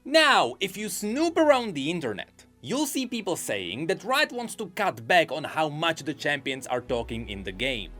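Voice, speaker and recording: Lore video narrator: a man with a fairly high voice and lively pitch, faint music under him. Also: normal speed, hardly any pauses. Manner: animated, explanatory. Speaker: video essayist on game lore. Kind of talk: scripted commentary.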